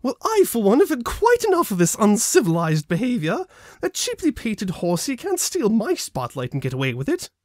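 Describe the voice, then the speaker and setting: Man as a haughty high-voiced lady unicorn. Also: huge pitch swings, moderate pace, dry recording, studio quality. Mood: haughty indignation. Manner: haughty, grandiloquent. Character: haughty, indignant lady unicorn, prim and dramatic.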